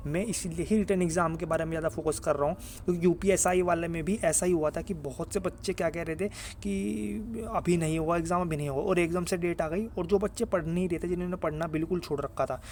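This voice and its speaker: A man speaks in a slightly high voice over faint background noise. He talks informally, like a mentor advising students. His delivery is earnest and insistent, circling back to repeat points and tagging sentences with small check-ins. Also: darkish tone. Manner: earnest, repetitive, insistent, with frequent check-in tags. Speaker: exam guidance YouTuber. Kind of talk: informal advice talk to students. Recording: clear ambient background noise.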